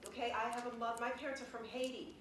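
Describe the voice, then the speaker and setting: A woman's voice: on the high side, fairly even intonation, fast. A woman leading a workshop, speaking emphatically to a group, stretching key words and checking in with her listeners. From Brooklyn, New York, United States. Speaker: diversity workshop trainer. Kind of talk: spontaneous workshop talk to a group. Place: indoor meeting room with a group. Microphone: distant room microphone. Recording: roomy, distant microphone, background noise.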